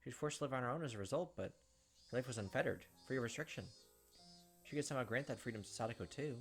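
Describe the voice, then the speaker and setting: Let's play host, quick delivery, visual novel read-aloud, casual sympathetic commentary, faint music. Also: medium pitch, lively intonation. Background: game music.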